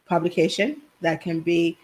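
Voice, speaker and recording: A woman from Haiti raised in New Jersey, a business owner telling her story softly as a guest on a video call. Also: fairly low pitch, normal speed, low-quality recording. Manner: soft-spoken storytelling with laughs, quiet. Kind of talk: casual interview chat.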